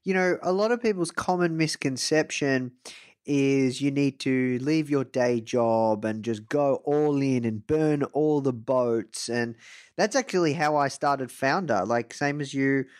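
A man hosting a podcast interview, talking in a relaxed, conversational way with lots of filler phrases. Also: mid-range voice, a little slow, no room sound, studio quality. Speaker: podcast host. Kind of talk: casual interview chat.

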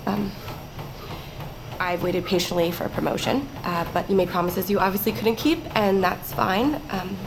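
A woman's voice: mid-range voice, normal speed, background noise, lightly acted. A woman playing a composed, resolute corporate employee with restrained, naturalistic audio drama delivery. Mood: hesitant, firm.

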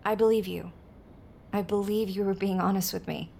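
A woman's voice: mid-range voice, fairly even intonation, moderate pace, gravelly, darkish tone. An actress playing a frank, playful woman in her twenties in naturalistic audio drama dialogue. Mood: earnest, reassuring. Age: 20s. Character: frank, quirky twenty-eight-year-old woman, a drummer.

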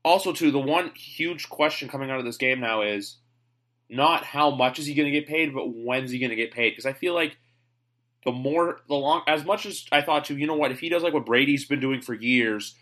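A man's voice: mid-range voice, fairly bright tone. Chatty man hosting a sports podcast. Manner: chatty, rambling, opinionated. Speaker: podcast host. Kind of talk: casual sports chat.

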